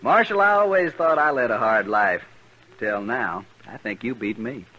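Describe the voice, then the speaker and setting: An American actor playing a gruff, plain-spoken trail boss in a 1950s western radio drama, blunt and folksy with dry humour. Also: male voice, medium pitch, expressive, moderate pace, close to an everyday voice. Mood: wry. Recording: noisy, muffled old radio recording.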